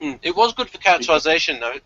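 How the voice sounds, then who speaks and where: A man's voice: a little high, very animated, very fast, sharp tone, no room sound, highs cut off. A man hosting a review discussion, recapping and chatting casually, hesitant and often correcting himself. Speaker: podcast host. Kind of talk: episode synopsis and casual review chat.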